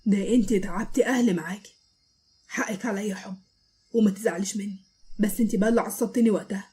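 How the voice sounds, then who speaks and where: A woman voicing a short-tempered male police officer who shouts orders, with an Egyptian accent. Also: a little high, fairly even intonation, rapid-fire, slight background noise, natural voice. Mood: softened. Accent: Egyptian Arabic. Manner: shouting, commanding.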